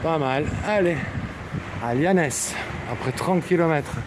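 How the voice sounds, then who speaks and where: A fairly high-voiced man films himself on a coastal pilgrimage trail and chats to the camera with a playful, teasing tone. Loud wind roars across his mic throughout. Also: a little slow. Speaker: hiking vlogger. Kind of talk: joking travel vlog to camera.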